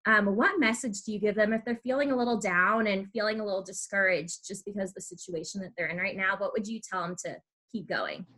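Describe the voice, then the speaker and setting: Bright-voiced young American woman hosting. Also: mid-range voice, fast talker, few pauses. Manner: chatty, encouraging, affirming. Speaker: podcast host. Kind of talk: casual interview.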